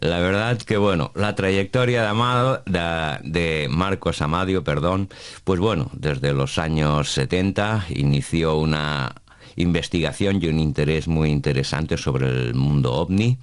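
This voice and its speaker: Very deep-voiced male radio interviewer. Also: unhurried, clear, resonant tone, dry recording, clean studio sound. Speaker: radio host interviewing a guest.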